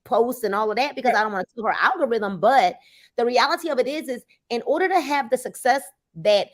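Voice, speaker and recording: High-voiced woman in her fifties, animated. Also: brisk. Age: 50s. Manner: animated storyteller, acts out dialogue, colloquial. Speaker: network marketing mentor. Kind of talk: casual chat.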